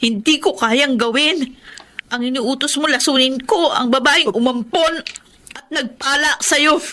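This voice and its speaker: High-voiced, anguished young Filipina nurse. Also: female voice, unhurried, pushed and bright, slight background noise. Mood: resolute, sorrowful. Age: young adult. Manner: pleading, tearful. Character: lovestruck young nurse, gentle, anguished, conscientious. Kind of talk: melodramatic Filipino radio drama acting.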